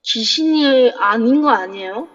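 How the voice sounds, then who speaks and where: A brash young fighter girl , voiced by a woman, blurts in a high, drawn-out voice. The sound is a bit dull and washed in reverb. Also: lively intonation. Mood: startled. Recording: echoey, slightly dull sound.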